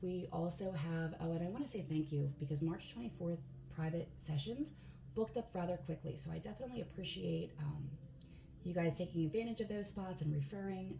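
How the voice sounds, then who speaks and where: A woman with a hoarse voice giving a casual tarot card reading in a relaxed, chatty way, with plenty of fillers. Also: lowish voice. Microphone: phone. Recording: echoey room, faint background hiss.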